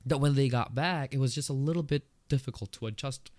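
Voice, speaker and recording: An earnest man with a Filipino accent hosts a solo podcast, his voice sitting at an ordinary middle pitch. He talks in a conversational, reflective way and leaps upward for emphasis. The recording is close and dry, with almost no room sound. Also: fairly quick, darkish tone, no echo. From Philippines. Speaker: podcast host.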